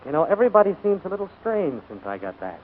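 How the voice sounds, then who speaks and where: Brisk, wry gentleman on old radio. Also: high voice, big pitch swings. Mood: probing, brisk. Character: suave, observant gentleman employer, teasing his servant. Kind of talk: 1940s radio comedy acting. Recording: scratchy, narrow-band 1940s radio broadcast.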